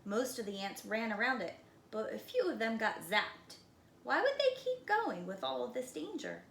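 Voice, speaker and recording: A woman with a high, lively, swooping voice reads a children's story like a preschool teacher. She leans into dramatic emphasis and drops to hushed tones for suspense. The room sounds echoey. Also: moderate pace, vocal fry. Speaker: preschool teacher reading a picture book aloud. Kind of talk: expressive children's read-aloud. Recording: roomy echo, light background noise.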